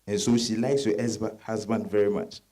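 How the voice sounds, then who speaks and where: An actor with a West African accent playing a married suitor in dramatized novel dialogue, hesitant, coaxing and earnest. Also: male voice, lowish voice, fairly even intonation, normal speed, mellow, close to an everyday voice. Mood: insistent. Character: smooth-talking married suitor, hesitant yet insistent husband.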